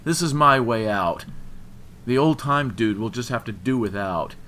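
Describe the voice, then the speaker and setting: A man's voice: medium pitch, unhurried, pushed and bright, slight background noise, pitched above the performer's own voice. A man voicing a drunken, bitter young man in an audiobook, growling and taunting crudely. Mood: angry, defiant. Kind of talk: audiobook character dialogue.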